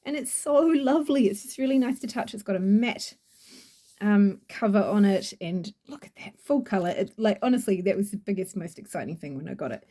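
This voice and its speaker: A woman with a New Zealand accent chats through a product review, gushing and rambling with plenty of fillers. Her voice is mellow and warm, at a middling pitch, recorded close to the mic. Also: expressive, a little fast. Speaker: oracle deck reviewer.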